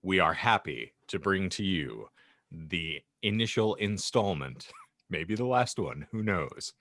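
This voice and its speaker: Wry low-voiced man hosting, lively delivery. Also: takes it slowly, no echo, studio quality. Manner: wry, mock-formal, wordy. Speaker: podcast host. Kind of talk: casual comedic podcast banter.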